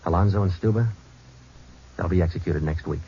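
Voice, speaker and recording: A man's voice: low voice, fairly quick, noisy background. A man narrating as a police detective in a 1940s radio drama, clipped and matter-of-fact. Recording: dull old radio broadcast with music.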